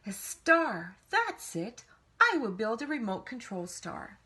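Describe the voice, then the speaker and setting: A woman voicing an excitable, inventive young girl from a storybook, speaking in eager exclamations. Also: fairly high pitch, slow, pitched above the performer's own voice, full character voice. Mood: excited, inspired.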